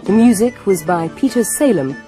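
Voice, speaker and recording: A woman's voice: medium pitch, expressive, moderate pace, music in the background. A woman reading a scripted announcement as a radio continuity announcer, in a formal, orderly way.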